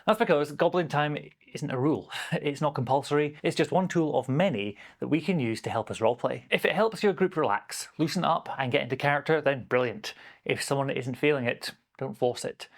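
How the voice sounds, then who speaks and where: A man's voice: fairly high pitch, a little fast. A man presenting a scripted explainer as a game master, with a playful, emphatic and encouraging delivery. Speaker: game master and video host.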